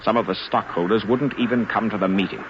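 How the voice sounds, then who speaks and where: A man's voice: lowish voice, fast, lightly acted. An actor playing a driven, exasperated businessman in a 1950s radio drama, telling things emphatically and with dramatic feeling. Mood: frustrated, weary. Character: driven, exasperated businessman leading an ocean cable venture. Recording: noisy, muffled 1950s radio recording.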